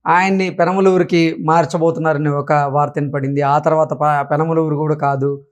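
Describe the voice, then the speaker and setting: Man, interview host, fairly high voice, conversational news delivery. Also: flat delivery, moderate pace.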